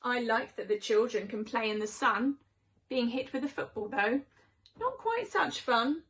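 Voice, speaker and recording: Opinionated house cat for rhyming storybook, played by a woman: high voice, conversational, gossipy, expressive. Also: measured pace. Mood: chatty, opinionated. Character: chatty, opinionated house cats gossiping about their humans.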